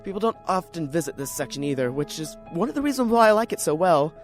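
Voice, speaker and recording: A man's voice: on the high side, very animated, fast, soft background music. A man playing a lonely, neurotic, paranoid writer in an introspective, theatrical radio drama monologue. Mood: self-conscious, brooding.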